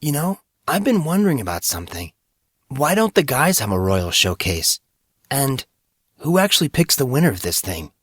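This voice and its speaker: A man's voice: mid-range voice, very animated, moderate pace, fairly bright tone, husky, no room sound, clean studio sound. A man voicing a puzzled, questioning teenage schoolboy with casual talk in an audiobook.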